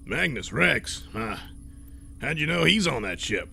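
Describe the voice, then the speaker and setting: A man voicing a gravelly, laconic spaceship crewmate in a comic sci-fi audio serial. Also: mid-range voice, big pitch swings, a little slow, bright, forceful tone, faint music behind, exaggerated voice. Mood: matter-of-fact.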